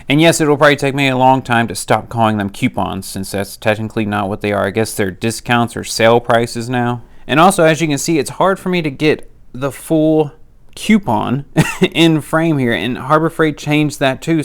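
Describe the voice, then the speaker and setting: A man's voice: mid-range voice, gravelly, smooth. A man with a nasal voice and a cold, chatting casually through a rundown of tool deals. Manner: chatty, practical, plain-spoken. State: has a cold.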